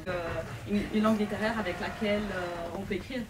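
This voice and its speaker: A woman speaks earnestly and slowly about her writing, in a middle voice. She sounds distant from the mic. Steady noise sits behind her. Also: medium pitch. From Lebanon. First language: Arabic. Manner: earnest, measured, pausing often. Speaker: author at a book event. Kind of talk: reflective personal talk. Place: bookshop.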